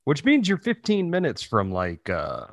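A man's voice: medium pitch, wildly swooping pitch, moderate pace, vocal fry, no room sound, studio quality. A man chatting casually as a podcast co-host, slangy, bantering and profane. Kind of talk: casual chat.

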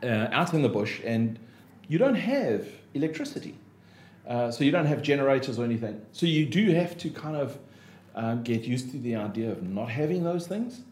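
A man with a South African accent, a guest speaker and trainer, talking in a relaxed, chatty way with frequent ums. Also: medium pitch, normal speed. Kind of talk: casual podcast chat. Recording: echoey room, faint noise.

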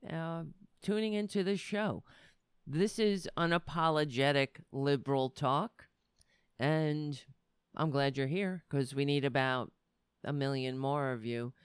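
A woman's voice: sits low, unhurried, smooth, no room sound, studio quality. A woman hosting a livestreamed political talk show, talking in a chatty, rambling and sardonic way.

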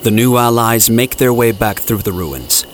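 A man's voice: mid-range voice, animated, moderate pace, a little hiss or hum. A man narrating an audio drama in a scripted, dramatic storytelling style.

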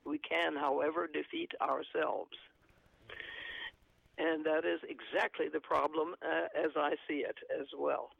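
A man with a gravelly voice talks in a halting, earnest way with many fillers, discussing and reading from an article. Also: fairly high pitch, little pitch movement, dry recording, dull audio. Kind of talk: conversational commentary, reading aloud from an article.

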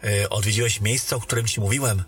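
A man voicing a weary warrior back from a mission in a fantasy audio drama, speaking in a calm, matter-of-fact way. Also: lowish voice, a little fast, breathy edge, soft background music.

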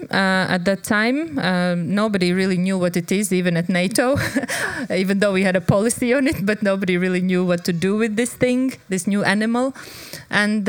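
A woman's voice: on the low side, clear, resonant tone, no echo. A young adult woman from Latvia speaking on a panel as a disinformation expert, hesitant with many ums and light laughs. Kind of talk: panel discussion answers.

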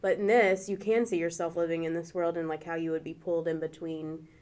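A woman's voice: lowish voice, level tone, brisk, a little mellow. A woman co-hosting a library podcast, chatting casually about a book she is reading, with fillers. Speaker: podcast co-host.

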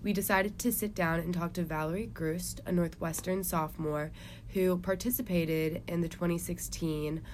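A young woman speaks as a show co-host with a chatty, scripted delivery. Her voice is on the low side, and faint ambient noise sits behind it. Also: steady tone, moderate pace, a little mellow. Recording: clear background ambient noise.

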